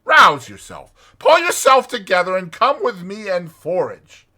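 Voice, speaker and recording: Man doing a high, hearty storybook rat. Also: huge pitch swings, sharp tone, heavily acted. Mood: reproachful, rallying. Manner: exclamatory, brisk old-chap chumminess. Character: hearty, bossy, cheerful rat, a loyal friend.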